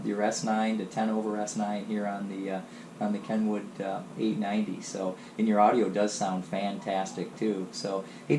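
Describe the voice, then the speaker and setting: A man with a cold chatting casually over ham radio, relaxed and full of fillers. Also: lowish voice, creaky. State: has a cold. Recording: echoey room, faint noise.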